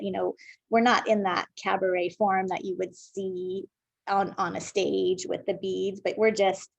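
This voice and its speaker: American woman with a creaky voice. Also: medium pitch, a little mellow, no echo, low-quality recording. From Southern California, United States. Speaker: interviewed guest, dance artist and educator. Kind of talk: conversational interview, reflective explaining.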